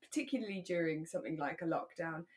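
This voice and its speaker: A woman's voice: low-pitched, quick pace. A British woman co-hosting a podcast, chatting casually about a radio drama in a chatty, giggly way. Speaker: podcast co-host.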